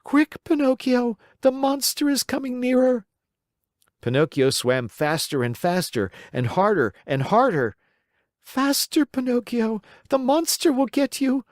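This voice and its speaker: A man's voice: very animated, a little slow, no echo, clean studio sound, full character voice. Man doing a frantic little she-goat. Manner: high, frantic, urgent. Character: small pleading female goat, urgent.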